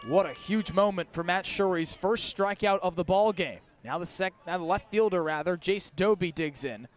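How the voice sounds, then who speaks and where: A high-voiced man works as a baseball play-by-play announcer. He delivers live radio commentary, following the game pitch by pitch. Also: moderate pace.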